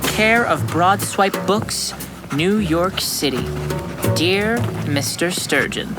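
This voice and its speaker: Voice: mid-range voice, animated, slow pace. An actor playing an earnest, self-important sixth-grade boy detective in a radio drama, speaking formally and precisely. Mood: formal, self-assured. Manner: pompous, formal, precise diction. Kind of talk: radio drama acting and first-person narration. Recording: music under the voice.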